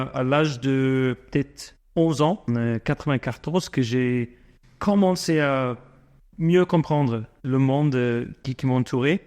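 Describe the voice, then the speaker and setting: A man in his forties who grew up in South Africa and speaks French as a second language, answering interview questions slowly with many fillers and restarts. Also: medium pitch, darkish tone, smooth. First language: not French. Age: 40s. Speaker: guest entrepreneur.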